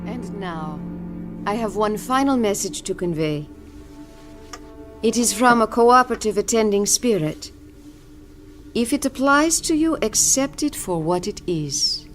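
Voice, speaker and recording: A woman playing a composed, probing spiritualist fortune teller in an audio drama, speaking in a deliberate, knowing manner. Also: medium pitch, animated, faint music behind, lightly acted. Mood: solemn, mystical.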